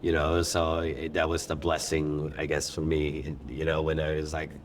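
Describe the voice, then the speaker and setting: Deep-voiced chef. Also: male voice, monotone, moderate pace, slight background noise. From born in Thailand, raised in New York. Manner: rambling, many fillers and restarts. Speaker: chef and restaurant owner, guest. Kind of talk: casual interview storytelling.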